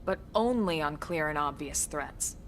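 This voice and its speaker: Cool, bright-voiced military officer. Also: female voice, low-pitched, animated, slight background noise. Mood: measured, explaining. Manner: crisp, measured, dry. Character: cool, composed, no-nonsense female military officer. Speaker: voice actor. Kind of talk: scripted video game cutscene acting.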